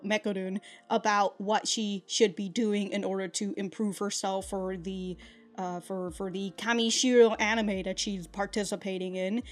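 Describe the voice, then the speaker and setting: A young woman giving casual reaction commentary on an anime episode at her desk, animated and quick to laugh and swear. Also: medium pitch. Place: small room at a desk, indoors.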